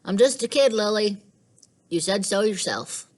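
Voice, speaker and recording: A woman reading the part of a thoughtful, stubborn young boy in a storybook, in a deliberate way. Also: medium pitch, lively intonation, darkish tone, voice pushed up. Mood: pleading.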